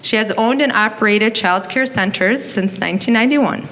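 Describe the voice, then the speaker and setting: A woman, a museum deputy director, reading a prepared introduction in a measured, formal way in an auditorium. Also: mid-range voice, a bit bright. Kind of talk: prepared introduction read aloud. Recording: reverberant auditorium, faint noise.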